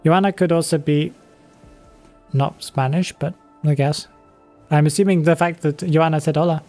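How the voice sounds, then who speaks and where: A man's voice: a little high, fairly even intonation, moderate pace, dark tone, faint music behind. A man in his twenties chatting casually on a live game stream, reading chat and laughing often. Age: 20s.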